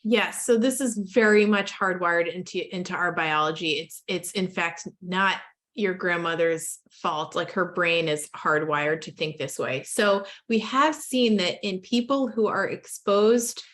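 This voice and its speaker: A woman's voice: fairly low pitch, a little slow, fairly bright tone. A woman guides a group discussion for medical residents. She speaks casually and thinks aloud, circling back on her words as she explains. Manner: conversational, hedging with "like" and repeats. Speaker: lecturer.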